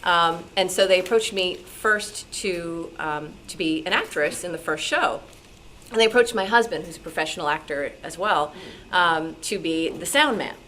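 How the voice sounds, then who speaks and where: A woman with a slightly low voice tells personal stories to an audience. She is from New York City and animated, slipping into remembered dialogue as she goes. There is faint noise from the meeting room around her. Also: normal speed. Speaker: radio drama actress and sound effects performer, guest speaker. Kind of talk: personal anecdotes told to a club audience. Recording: noisy room at a club meeting.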